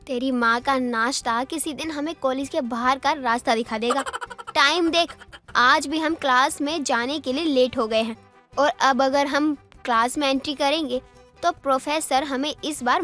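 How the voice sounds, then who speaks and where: A woman's voice: high-pitched, moderate pace, a bit bright, faint music behind. A woman playing a young college student in a cartoon story, scolding and teasing with sarcastic slang. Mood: annoyed, sarcastic. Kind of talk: Hindi animated moral story dialogue.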